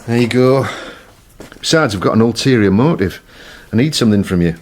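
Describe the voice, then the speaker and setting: An actor playing an educated middle-aged man who asks questions calmly. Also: mid-range voice, a little fast, a little hiss or hum, close to an everyday voice. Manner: calm, gently questioning. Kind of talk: radio drama acting.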